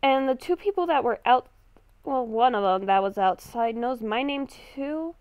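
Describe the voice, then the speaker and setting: A woman improvising in-character commentary for a game roleplay, speaking hesitantly and haltingly, with sarcastic asides. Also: fairly high pitch, animated, smooth, no room sound, dull audio. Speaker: gamer playing a roleplay series.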